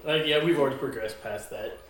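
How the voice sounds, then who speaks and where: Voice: medium pitch, a little fast. A tabletop game player making casual side remarks from across the room. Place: indoor room, home game session. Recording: distant mic, very echoey room, faint noise.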